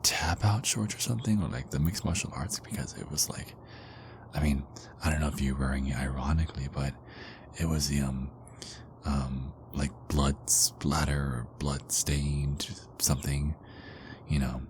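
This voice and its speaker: Guy doing a soft insecure werewolf boyfriend, super deep hushed voice, stumbles over words, asmr roleplay, hissy noise behind. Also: steady tone. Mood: fond, reminiscing. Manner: hushed, halting, often dropping into whispers. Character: tender, insecure young male werewolf boyfriend. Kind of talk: intimate ASMR boyfriend roleplay, improvised-sounding.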